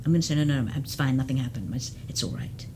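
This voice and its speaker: A woman's voice: rumbling low, rapid-fire, background noise. A woman who works as a geriatric case manager, explaining at length in an interview with frequent restarts. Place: community TV studio.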